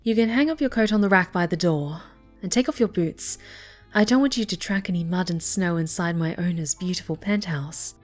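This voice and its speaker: A woman playing a snobby, pouty young cat girl with a soft side in an ASMR roleplay. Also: fairly low pitch, a little fast, faint music behind, close to an everyday voice. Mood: grudging, snooty. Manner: haughty, pouty, dismissive.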